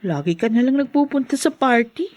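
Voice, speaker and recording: An actress with a Filipino accent playing a dutiful, caring eldest sister, gentle and meek, in a podcast drama. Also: female voice, high voice, lively intonation, brisk. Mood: gentle, concerned.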